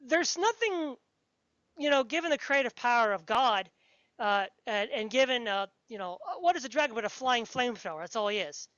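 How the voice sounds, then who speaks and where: Woman, higher-pitched, opinionated and emphatic conversational delivery with a pedantic streak; dry, slightly muffled lo-fi sound. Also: expressive, moderate pace, a bit bright, no echo. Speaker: podcast speaker discussing fantasy. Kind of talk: opinionated casual discussion.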